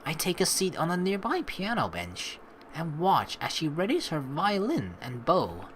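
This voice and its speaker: A man's voice: fairly high pitch, a little slow, a little hiss or hum. A young man giving chatty let's play commentary, reading game text aloud and reacting to it. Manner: animated, chatty, reacting as he reads.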